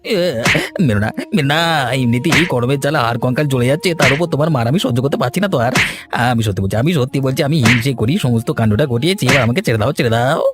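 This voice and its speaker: Man playing a fast-talking scheming village villain. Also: mid-range voice, loud music behind the voice, close to an everyday voice. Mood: whining, pleading. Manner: taunting, wheedling, drawn-out pleading. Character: scheming jealous village villain, whiny and pleading when beaten. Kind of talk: Bengali cartoon story acting.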